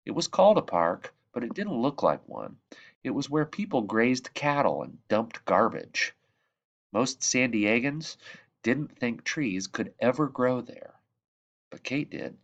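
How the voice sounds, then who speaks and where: A man reading a children's picture book aloud in measured phrases that drop softly at the ends of sentences. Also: medium pitch, very animated, lo-fi sound. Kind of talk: read-aloud storybook narration.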